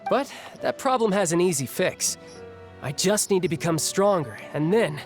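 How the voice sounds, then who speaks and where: Young game warrior: higher male register, breezy, battle-hungry, casual swagger. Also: expressive, normal speed, soft background music. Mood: nonchalant. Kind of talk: video game voice acting.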